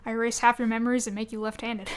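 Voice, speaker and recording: A woman with a high voice co-hosts a casual gaming podcast. She talks in an expressive, animated way and often slips into quoting imagined remarks. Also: racing, a crowd in the background. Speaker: podcast co-host. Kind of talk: casual chat about video games.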